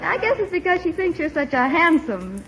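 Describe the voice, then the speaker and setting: An actress playing an eager, coaxing young niece in a 1940s radio comedy. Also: female voice, very high voice, moderate pace, over a noisy crowd, full character voice. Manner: coaxing, expressive. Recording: noisy vintage 1940s radio recording.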